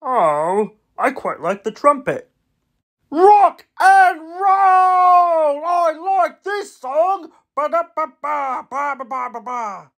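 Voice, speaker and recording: A man's voice: extremely high pitch, animated, very slow, fairly bright tone, exaggerated voice. A man voicing a laid-back, cheerful cartoon steamroller who loves music, with an easygoing drawl.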